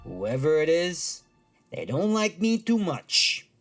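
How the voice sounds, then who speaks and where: A man's voice: a little high, big pitch swings, takes it slowly, a bit bright, faint music behind, heavily acted. An actor playing an irritable, wisecracking male psychic who talks in old-time streetwise slang in an audio drama. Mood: strained, urgent. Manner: slangy old-time wise guy phrasing.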